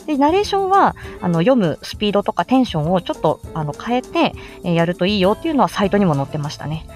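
A woman's voice: medium pitch, very animated, fast, darkish tone, faint music behind. A woman with an androgynous voice explaining in a casual, chatty live-stream style, as an amateur voice actor giving tips. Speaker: amateur voice actor explaining how to make voice samples.

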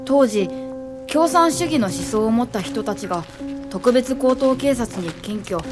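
A woman's voice: on the high side, animated, measured pace, music in the background. A woman playing a respectful, admiring young assistant who narrates and acts in a radio drama. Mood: admiring, reflective. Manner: polite, respectful.

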